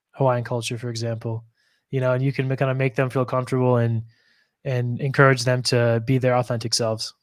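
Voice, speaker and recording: A man's voice: medium pitch, darkish tone, clear, resonant tone, no room sound. Friendly podcast host man, dry close sound. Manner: friendly, affirming, says "man" and "you know". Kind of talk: casual interview talk.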